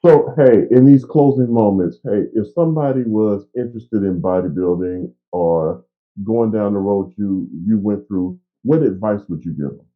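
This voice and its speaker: Low-voiced host on a tinny line. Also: male voice, normal speed. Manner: brief affirmations, easy-going. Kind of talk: casual interview questions.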